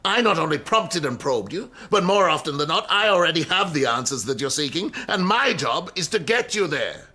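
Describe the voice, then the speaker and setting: A pompous prof in a radio play, bit raspy, sharp and forceful, argues in big wordy speeches. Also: male voice, on the high side, sing-song, normal speed, few pauses, exaggerated voice. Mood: domineering. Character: arrogant, defensive male university professor under fire. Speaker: actor in a radio drama. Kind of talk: naturalistic radio drama acting.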